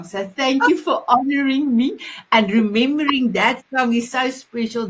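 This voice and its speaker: A woman's voice: a little high, slightly rough, rough recording. A woman, an Afrikaans speaker, hosting a casual online chat show, effusive and affectionate, often restarting her sentences. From South Africa. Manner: effusive, repeats "my beautiful", stumbling restarts. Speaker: host.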